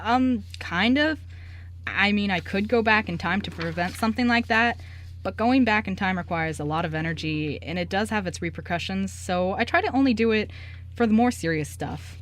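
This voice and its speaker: A woman's voice: mid-range voice, normal speed, natural voice. A woman playing a breezy young male journalist with secret magic in an amateur radio play, talking in a casual, joking, slangy way. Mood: confiding, casual.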